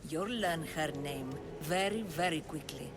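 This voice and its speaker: An actor playing a poised cult conspirator in a video game, measured and dryly superior. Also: medium pitch, gravelly. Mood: knowing. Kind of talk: dramatic video game acting. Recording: loud ambient noise behind voice.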